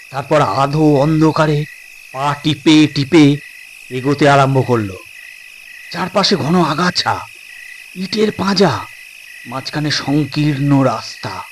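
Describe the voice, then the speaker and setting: A man's voice: a little high, slight background noise. A man narrating a suspense story as a storyteller, in a dramatic scripted style.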